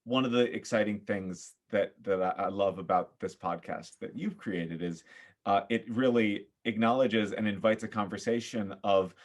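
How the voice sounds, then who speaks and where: A man's voice: lowish voice, normal speed. A man speaking as a podcast guest in casual, reflective conversation, thoughtful and often groping for his words.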